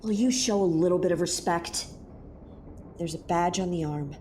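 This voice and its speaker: A woman's voice: lowish voice, normal speed, heavy background noise, lightly acted. A woman playing a nervous, sometimes sarcastic young space explorer in an audio drama, hesitant and uneasy in her delivery.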